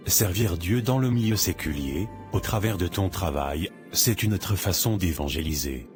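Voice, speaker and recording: Man as a wise cartoon pastor, very deep voice, calm and teacherly, clear music behind. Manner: calm, instructive, sermon-like. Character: wise older male pastor, gentle counselor.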